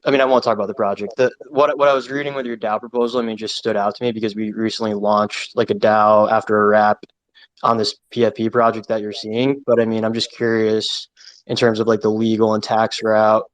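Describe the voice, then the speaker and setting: A man's voice: lowish voice, flat delivery, brisk, dry recording, poor audio. A man speaking off the cuff in a casual online discussion, hedging often with a conversational manner. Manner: hedging, conversational, heavy use of "I mean". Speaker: audience participant in a live audio discussion. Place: live online audio room.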